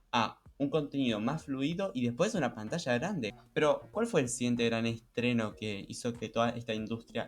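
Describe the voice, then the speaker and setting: A man with a Rioplatense Argentine accent talks casually as a podcast co-host. His voice sits at an average pitch and his delivery is a little halting, with repeated words. There is faint music behind him.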